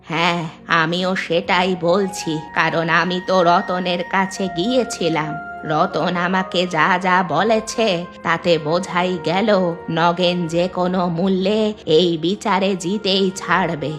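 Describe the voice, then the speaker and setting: A woman's voice: lowish voice, steady tone, a little slow, close to an everyday voice. A woman playing an earnest, worried old village grandmother in a cartoon. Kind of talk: dubbed cartoon dialogue. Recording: music bed behind the voice.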